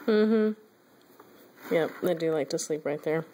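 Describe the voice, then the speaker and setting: Brief: middle-aged mom, patient explainer, easy laughs, home phone recording. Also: female voice, low voice, unhurried, dark tone, a little hiss or hum, rough recording. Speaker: mother and vlogger. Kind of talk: casual family chat. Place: home room with an ant farm. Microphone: phone camera.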